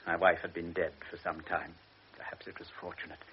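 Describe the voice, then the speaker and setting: An anxious old baron in a vintage radio play, his low voice hesitant and apologetic, stumbling over his words as he pleads with a young officer. The recording is dull and muffled, with a faint hiss behind it. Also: little pitch movement, brisk. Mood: anxious, pleading. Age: older. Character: older wealthy baron, anxious doting father. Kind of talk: classic radio drama acting.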